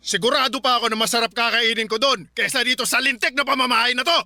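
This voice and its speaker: An actor playing a short-tempered, insulting Filipino father in a radio drama, loud, scornful and argumentative. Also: extremely high pitch, steady tone, fast talker, faint music behind, exaggerated voice. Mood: angry, scornful.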